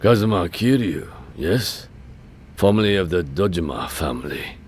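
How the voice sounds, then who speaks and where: An actor playing an aging underworld elder in a video game dub, speaking formally and with measured, bitter courtesy. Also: male voice, sits low, lively intonation, a little hiss or hum. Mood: cool courtesy. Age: older. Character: aging male immigrant crime elder, courteous, bitter, quietly menacing.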